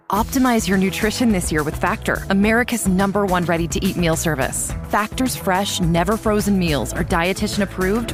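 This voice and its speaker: Woman reading an ad over music. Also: medium pitch. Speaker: ad announcer. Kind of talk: scripted sponsor read.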